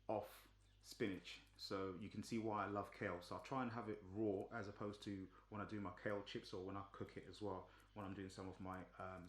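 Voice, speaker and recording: A man from Zimbabwe with a low voice talks through a topic in a relaxed, chatty way. He often stops on "um" and repeats himself as he goes. The room gives his voice a clear echo. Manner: relaxed, many ums and restarts. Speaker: vlogger. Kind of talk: casual explanatory talk. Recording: echoey room.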